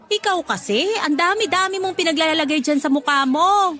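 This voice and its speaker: A woman's voice: very high voice, normal speed, bright, forceful tone, soft background music. A woman acting a teasing, affectionate street-vendor mother in a Tagalog radio drama, bantering in a Filipino accent. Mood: fond chiding.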